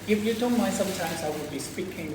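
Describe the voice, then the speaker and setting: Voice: medium pitch, fast. A debate coach with a Hong Kong accent, explaining points to students and posing questions as they go. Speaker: debate coach teaching a class. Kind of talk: interactive lesson, explaining debate rebuttals. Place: echoey room, distant from microphone. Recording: echoey room from far off, noisy.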